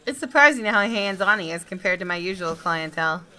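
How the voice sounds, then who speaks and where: A woman reading a visual novel aloud as expressive first-person narration. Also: fairly low pitch, brisk, rough recording. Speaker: gaming video host reading aloud.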